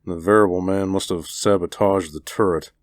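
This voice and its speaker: A man's voice: deep voice, fairly even intonation, normal speed, no echo, clean studio sound, lightly acted. A man doing a grim, subdued advisor. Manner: murmured and grim. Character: gray-faced male advisor, subdued and grim.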